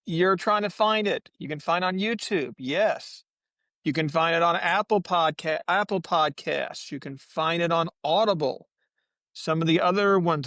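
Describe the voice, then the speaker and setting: Male podcast panelist, high pitch with heavy fry, rambling casual fan talk, dry sound. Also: fairly bright tone, no echo.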